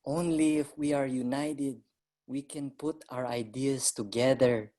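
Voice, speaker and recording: A man's voice: mid-range voice, fairly even intonation, unhurried, mellow, close to an everyday voice. An actor, a man, playing an earnest, determined young Filipino migrant laborer who narrates a staged drama. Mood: urgent, rallying. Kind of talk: dramatic staged reading, first-person narration. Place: virtual live event, remote reading.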